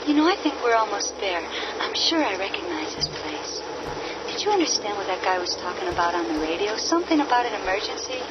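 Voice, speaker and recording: A woman's voice: mid-range voice, very animated, fairly quick, very noisy, close to an everyday voice. An actress playing an anxious young woman, nervous and pleading, in a radio drama. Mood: uneasy. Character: young woman, anxious sister, easily frightened. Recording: dull radio drama with music.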